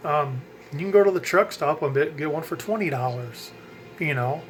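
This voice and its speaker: A man's voice: on the high side, expressive, normal speed, slight background noise. A man in a casual how-to vlog, chatting about his radio setup with lots of ums and self-corrections. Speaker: hobby radio vlogger.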